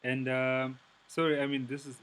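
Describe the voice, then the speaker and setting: Host, man, 30s, from South Africa; searching, halting questioner. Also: mid-range voice, flat delivery, a bit bright, slight background noise. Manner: hesitant, searching for words. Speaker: podcast host. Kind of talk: casual interview.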